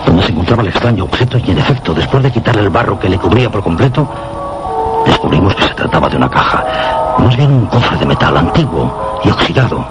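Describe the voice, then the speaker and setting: Low-voiced meteorologist narrator, ominous radio thriller, loud music under. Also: male voice, big pitch swings, fast, low-quality recording. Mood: grave. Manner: grave, ominous storytelling. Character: male meteorologist narrating a dark tale. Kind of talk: suspense radio drama narration.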